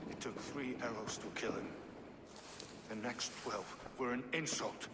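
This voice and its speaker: An actor playing a gruff, suspicious Danish-born soldier in a video game, blunt and sardonic. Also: male voice, mid-range voice, a little slow, long reverb tail, background noise, natural voice. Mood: grim, guarded.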